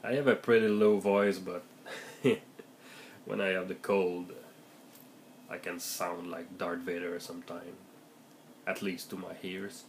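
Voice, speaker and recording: Slow, low-voiced man with a raspy cold. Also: little pitch movement, slight background noise. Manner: hesitant, many fillers and restarts, thoughtful. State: has a heavy cold, voice deeper and raspier than usual. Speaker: game master giving tips. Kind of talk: casual vlog monologue.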